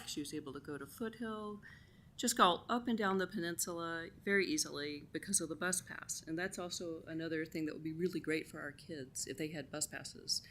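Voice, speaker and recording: A woman's voice: fairly low pitch, fairly bright tone, slight background noise. Chatty middle-aged woman at a council mic. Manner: chatty, enthusiastic, many "um"s. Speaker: public commenter. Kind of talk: public comment at council meeting. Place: council chamber.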